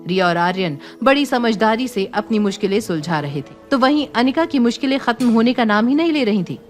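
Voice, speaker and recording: A woman's voice: fairly high pitch, expressive, brisk. A woman reading scripted, dramatic story narration as the narrator of an audio series. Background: music.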